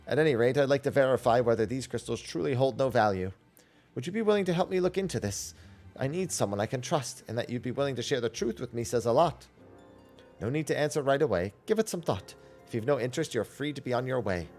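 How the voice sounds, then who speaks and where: A man voicing a boastful, smooth-talking merchant from a video game, with florid sales patter. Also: medium pitch, expressive, fast, a little mellow, faint music behind, natural voice. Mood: recovering, earnest. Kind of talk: game character dialogue read aloud.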